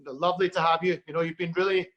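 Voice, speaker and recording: Scottish man hosting, high voice, muffled lo-fi sound, friendly and unhurried. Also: steady tone. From Scotland. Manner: friendly, unhurried, many fillers. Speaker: host. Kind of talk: video-call interview.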